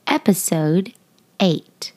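A woman's voice: fairly low pitch, animated, drawn-out words, darkish tone. A woman hosting and reading a children's picture book, speaking expressively and directly to young listeners. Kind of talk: animated children's storytelling.

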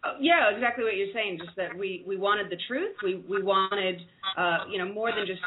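A woman's voice: mid-range voice, normal speed. A woman from the United States with an American accent answers reflectively as co-editor of a book. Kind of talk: radio interview answers. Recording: phone-like line with faint noise.

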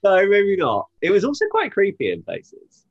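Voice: mid-range voice, wildly swooping pitch, normal speed, breathy edge, lo-fi sound. A podcast co-host bantering casually, with a playful, wry way of talking. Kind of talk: casual banter about a TV episode.

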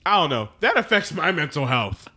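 A man with a somewhat high voice talks casually among friends. He is slangy and opinionated, stating his points with emphasis. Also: sing-song, normal speed, sharp tone, slightly rough. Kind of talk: casual chat.

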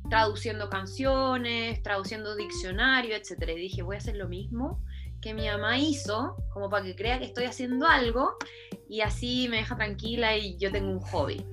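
A woman's voice: mid-range voice, normal speed, music in the background. A young Chilean woman, a journalist and presenter, telling her story in a chatty way during an online talk. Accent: Chilean Spanish. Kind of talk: talk presentation on video call.

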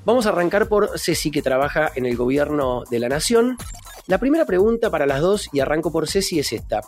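Higher-voiced Argentine man hosting a podcast. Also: lively intonation, a little fast, soft background music. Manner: informal, addresses guests by nicknames. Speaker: host and moderator. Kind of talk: informal moderated political podcast.

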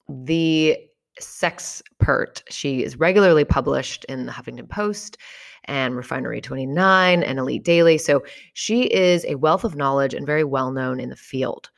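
Low, gravelly-voiced woman podcast host. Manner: enthusiastic, swears casually. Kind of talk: casual interview.

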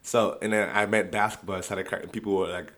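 Young guy with a lowish voice, rambling, restarts a lot, laughs a bunch. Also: fairly even intonation, fairly quick, fairly bright tone. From Nigeria. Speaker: vlogger. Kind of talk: casual storytelling.

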